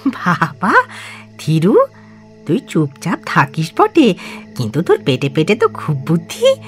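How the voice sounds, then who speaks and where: A woman voicing a lively, friendly young boy novice monk in an audio drama, chatty and playful. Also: fairly low pitch, measured pace, soft background music.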